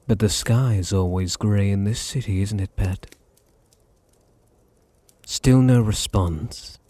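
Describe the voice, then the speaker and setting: A man performs a silky, menacing male vampire master with a British accent for an intimate ASMR roleplay. His voice is low and gravelly, with a heavy breathy husk. He is languid and possessive, purring condescending endearments. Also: mellow, no echo. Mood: languid musing, then teasing, condescending. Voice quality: deep, breathy, husky.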